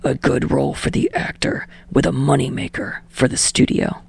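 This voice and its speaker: A woman voicing a gruff, brooding young male actor who curses often, speaking low, hushed and gravelly through clenched teeth. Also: sing-song, normal speed, heavily acted. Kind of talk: podfic reading.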